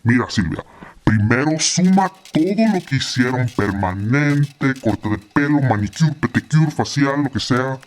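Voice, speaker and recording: Woman putting on a deep, bossy dad. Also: animated, moderate pace. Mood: instructive. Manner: commanding, instructive. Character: stern bossy Mexican father giving orders.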